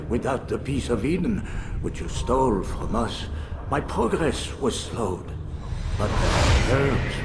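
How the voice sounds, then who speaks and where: An actor playing a cold, cruel medieval doctor in a game cutscene, proud, eloquent and condescending, with music behind him. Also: male voice, mid-range voice, noisy background. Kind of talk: dramatic video game cutscene acting. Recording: music under the voice.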